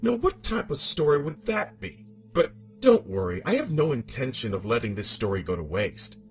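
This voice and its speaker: A man's voice: medium pitch, big pitch swings, moderate pace, faint music behind. A man voicing a vengeful, demonic scorned wife in a horror story monologue, with theatrical, taunting menace. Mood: taunting, indignant. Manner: theatrical, taunting, swinging between hushed menace and outrage.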